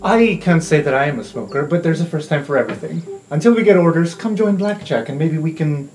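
A woman's voice: deep voice, animated, brisk, darkish tone. A woman playing a tabletop roleplay game, improvising casual in-character chat. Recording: light music behind the voice.

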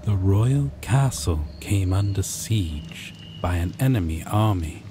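A man narrating a scripted second-person story in an ASMR roleplay, deliberately, with dramatic pauses. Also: sits low. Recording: loud steady background noise.

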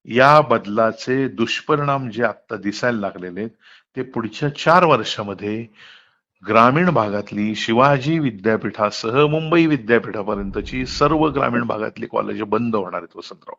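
A man's voice: mid-range voice, moderate pace, vocal fry, fairly bright tone. Muffled phone call, emphatic man. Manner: emphatic, explanatory, rhetorical questions. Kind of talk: candid political phone conversation. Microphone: telephone. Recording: dull lo-fi phone call recording.

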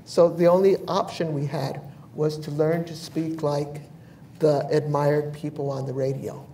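An older woman from New Mexico on a panel, telling personal memories in a measured, thoughtful way with frequent pauses. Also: low voice, flat delivery, warm tone. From United States (New Mexico). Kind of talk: reflective personal storytelling. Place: bright large indoor room, seated in armchair. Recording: echoey room, distant mic, faint hiss.

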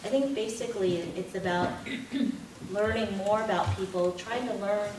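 A middle-aged woman giving a lively workshop talk, full of anecdotes and laughter, moving about as she speaks in a classroom. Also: medium pitch, normal speed. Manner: animated, gesturing, laughing often. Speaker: workshop presenter. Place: classroom with projector screen. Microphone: far camera, no visible mic. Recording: echoey room from far off, noisy.

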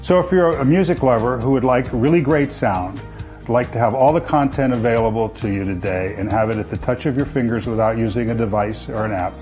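A man in his sixties, a company founder presenting his product to camera in a measured, explanatory way. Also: medium pitch, darkish tone, faint music behind. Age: 60s. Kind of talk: product pitch to camera, semi-scripted. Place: living room with sofas, indoors.

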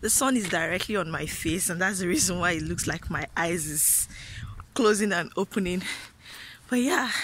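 A young woman from Nigeria holds her phone at arm's length on a quiet street and talks easily to her viewers. Her upbeat, rambling delivery is full of small restarts. Clear background noise sits under the outdoor phone recording. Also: medium pitch. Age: young adult. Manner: chatty, restarts and fillers, upbeat. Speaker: vlogger. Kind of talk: casual vlog talk to camera.

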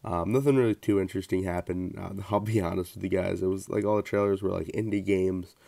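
Stuffy-nosed young man, low gravelly voice. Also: steady tone, brisk, warm tone. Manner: rambling, many fillers and restarts. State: sick and stuffy. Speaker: podcast host. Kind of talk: casual solo podcast talk.

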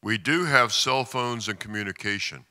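A man from the United States putting a formal question at a conference in a deliberate, measured, oratorical manner. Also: on the low side, sharp tone. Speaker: audience questioner, trauma doctor. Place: large conference hall. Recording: echoing conference hall PA.

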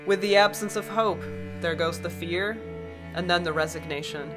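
A woman playing a weary, guarded spaceship pilot in an audio drama, speaking in terse, measured, grave lines. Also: on the low side, music in the background, lightly acted. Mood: grave, resigned. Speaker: narrator and lead actor in an audio drama. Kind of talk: audio drama acting and first-person narration.

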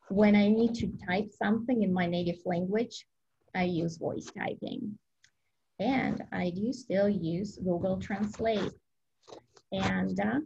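A woman's voice: medium pitch, vocal fry, lo-fi sound, background noise. A woman whose first language is Russian, presenting an educational webinar over a video call in an upbeat, explanatory way with frequent ums.

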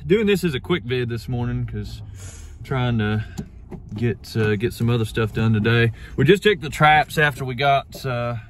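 A man's voice: mid-range voice, normal speed, a little hiss or hum. A young man chatting casually to a phone camera from inside a truck, laid-back and rambling with lots of fillers. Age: young adult. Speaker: vlogger. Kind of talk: casual chat. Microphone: phone at arm's length.

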